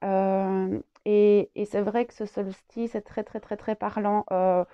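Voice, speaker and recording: A woman's voice: mid-range voice, level tone, takes it slowly, smooth, highs cut off. A French woman with a head cold, channeling a spiritual message, hesitant with many fillers and repeated words.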